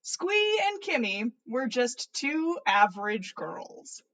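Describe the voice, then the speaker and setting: A woman with a fairly high voice hosts a story reading. She delivers comedic fan fiction playfully, with amused, theatrical expression. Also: sing-song, takes it slowly, a bit bright, rough recording. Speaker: podcast host reading listener stories.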